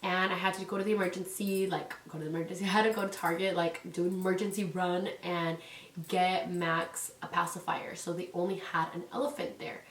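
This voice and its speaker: A woman's voice: on the low side, flat delivery, fairly quick, distant mic. Stuffy-nosed woman chatting in an echoey room. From California. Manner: rambling, many "like"s and "um"s. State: stuffy, congested from a cold. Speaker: parenting vlogger. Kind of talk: casual chatty vlog. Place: indoors at home. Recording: echoey room, away from mic.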